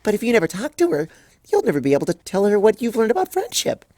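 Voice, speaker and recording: A woman voicing a hyper, bubbly pony mare who chirps and rambles quickly. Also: medium pitch, huge pitch swings, mellow, dry recording, clean studio sound, voice pushed up. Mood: chirpy.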